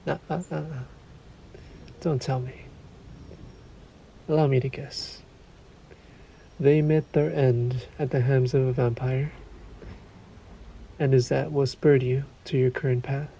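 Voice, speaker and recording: A man performs a suave male vampire in an intimate audio roleplay, with faint stormy noise behind him. His voice is mellow and dark with a creaky edge. He speaks in a theatrical, silky way, menacing and possessive as he toys with his prey. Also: mid-range voice, flat delivery, low-quality recording. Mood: taunting. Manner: taunting, silky, theatrical, deliberate phrasing. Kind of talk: slow intimate audio roleplay monologue to the listener.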